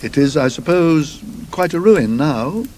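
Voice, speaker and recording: Man playing an inquisitive genteel English gentleman. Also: a little high, expressive, a little slow, slight background noise, pitched above the performer's own voice. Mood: eagerly curious. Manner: polite, musing, probing.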